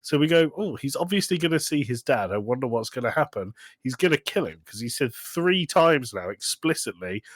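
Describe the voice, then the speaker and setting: Male podcast co-host, very lively intonation, dry close sound. Mocking, exasperated comic storyteller who swears and acts out quotes. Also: mid-range voice, brisk, hardly any pauses, no room sound. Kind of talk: casual comedic film-review chat.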